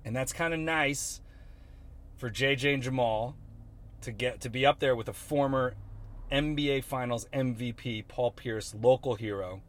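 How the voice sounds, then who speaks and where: A man hosting a casual sports podcast, joking and teasing, quick to throw in silly voices. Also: medium pitch, a bit bright. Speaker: podcast host.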